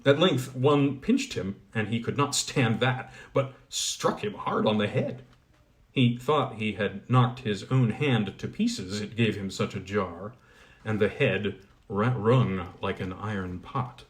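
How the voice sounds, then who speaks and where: A man reading a fairy tale aloud with expressive, lively storytelling. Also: lowish voice, slow. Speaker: audiobook reader. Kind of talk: storybook narration.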